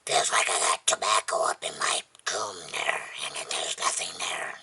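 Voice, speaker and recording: A vlogger with a husky, breathy, gravelly voice, chatting casually in a rambling, folksy, plainspoken and unhurried way. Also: sits low, bright, forceful tone, in a whisper. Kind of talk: casual rambling chat to camera.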